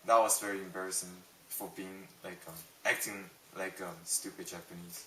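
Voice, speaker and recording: A man's voice: low-pitched, steady tone, normal speed, bright, forceful tone, slight background noise. A young man from Taiwan answering interview questions, hesitant, with many ums and restarts. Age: young adult. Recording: roomy, distant mic.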